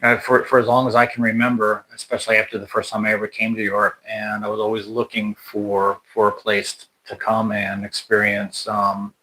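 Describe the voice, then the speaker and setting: A man's voice: fairly low pitch, completely flat delivery, brisk, few pauses, pushed and bright, poor audio. An American man hosting a casual livestream chat, talking in a rambling way with many restarts and fillers. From United States. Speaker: channel host. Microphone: livestream mic.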